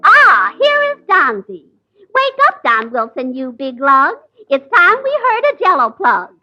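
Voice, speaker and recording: A woman's voice: high-pitched, very animated, unhurried, bright, forceful tone, faint music behind, full character voice. A woman playing a brassy, wisecracking female Blue Fairy who speaks in sing-song rhymes, in 1940s radio comedy. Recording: dull 1940s radio broadcast.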